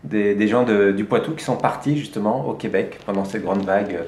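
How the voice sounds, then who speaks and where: A man's voice: lowish voice, brisk, a little mellow. Man telling stories in a roomy space. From France. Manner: chatty storytelling with hesitations and laughs. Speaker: guest, life coach. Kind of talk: conversational interview.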